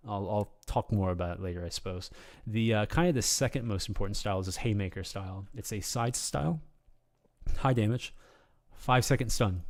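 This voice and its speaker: A man with a slightly hoarse voice giving an unscripted, rambling game tutorial, full of ums and restarts in a relaxed, casual way. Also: on the low side. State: slightly hoarse, losing his voice. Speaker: game guide video creator.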